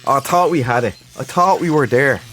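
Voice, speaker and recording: A man's voice: medium pitch, normal speed, fairly bright tone. A man hosting a casual let's play, sweary and exclamatory as he talks to himself. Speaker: gaming channel host. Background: game music.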